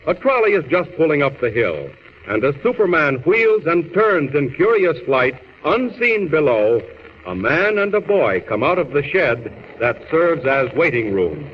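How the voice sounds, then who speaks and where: A man's voice: fairly high pitch, very animated, measured pace. A man narrating a superhero radio serial in a grand, declamatory 1930s style. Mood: grand, dramatic. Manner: theatrical, declamatory, crisp phrasing. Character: grand, urgent male storyteller of a superhero serial. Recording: dull, hissy old radio recording.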